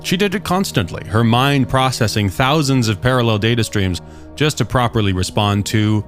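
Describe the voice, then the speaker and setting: A man's voice: mid-range voice, animated, moderate pace, soft background music. A man reading his own science fiction short story as a scripted audiobook narration. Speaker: author and narrator of short stories.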